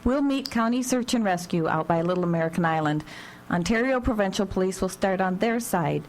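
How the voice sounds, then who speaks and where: A woman's voice: mid-range voice, lively intonation, brisk, lightly acted. A woman playing a wry, authoritative small-town sheriff in a live radio drama. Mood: brisk, official. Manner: dry, authoritative. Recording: noisy live radio broadcast, hum.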